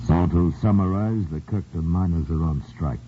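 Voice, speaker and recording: An actor playing a cold, commanding communist boss in a 1950s radio drama, measured and curt. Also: male voice, deep voice, natural voice. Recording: tinny old radio broadcast, faint hiss.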